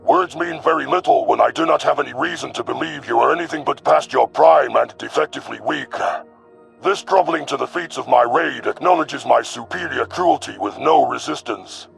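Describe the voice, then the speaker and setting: A man voicing a cruel, arrogant young alien predator commander in an audio story, with a growling, gravelly voice and haughty, formal menace. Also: medium pitch, a little fast, soft background music, pitched above the performer's own voice. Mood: disdainful.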